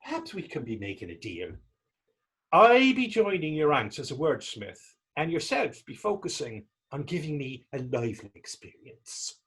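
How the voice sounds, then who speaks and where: Man acting down-and-out Irish male drifter, raspy, animated pitch swings, wry, skeptical, period stage dialogue. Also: moderate pace, exaggerated voice. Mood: sly, playful. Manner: wry, sardonic.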